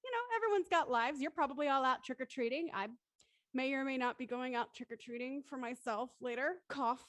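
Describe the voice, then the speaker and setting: A woman's voice: high voice, fairly quick, fairly bright tone, no echo. A woman hosting an online panel discussion in an effusive, polite way. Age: adult. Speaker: host. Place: indoors on a group video call.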